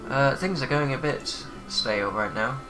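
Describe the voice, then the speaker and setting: Male gamer with a head cold, casual and hesitant let's-play talk over clear game music. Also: mid-range voice, normal speed. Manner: rambling, hesitant with many fillers. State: congested with a cold. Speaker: gaming channel host.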